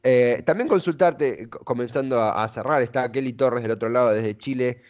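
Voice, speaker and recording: A man's voice: medium pitch, moderate pace, darkish tone, lo-fi sound. A man with an Argentine accent conducting a conversational interview, wordy and often searching for his words. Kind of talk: conversational radio-style interview.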